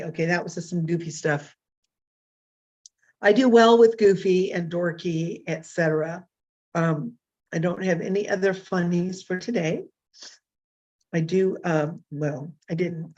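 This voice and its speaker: A hoarse woman in her fifties hosting a casual solo talk show, rambling and self-deprecating with many fillers and restarts. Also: fairly low pitch, a little mellow, lo-fi sound. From California. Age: 50s. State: hoarse from illness.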